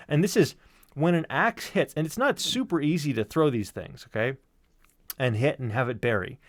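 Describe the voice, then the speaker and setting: A man's voice: medium pitch, no room sound, studio quality. A man telling an anecdote in a casual, wry and emphatic way, with some swearing. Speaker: storyteller on a podcast stream. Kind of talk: casual storytelling.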